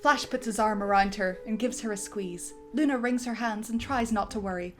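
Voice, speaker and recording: A woman narrating the stage directions of a script reading in a lively way. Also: mid-range voice, normal speed, soft background music. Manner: lively, breaking into laughter.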